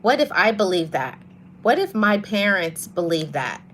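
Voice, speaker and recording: Soft-voiced woman giving motivational talk. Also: medium pitch, very animated, moderate pace, a little hiss or hum. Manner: earnest, emphatic repetition of key phrases; greets live viewers mid-talk. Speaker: motivational podcast host. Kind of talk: live-streamed motivational talk.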